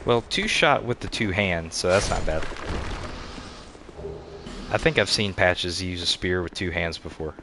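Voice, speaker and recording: Slow, low-voiced man streaming a game. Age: 30s. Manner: laid-back, chats with viewers and laughs often. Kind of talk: casual gameplay commentary. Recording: steady background noise.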